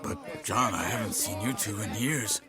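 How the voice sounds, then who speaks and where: A man acting an earnest, guarded fighter in a video game cutscene, plain-spoken and halting. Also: on the low side, loud music behind the voice, close to an everyday voice. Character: earnest, guarded male fighter, weary old friend.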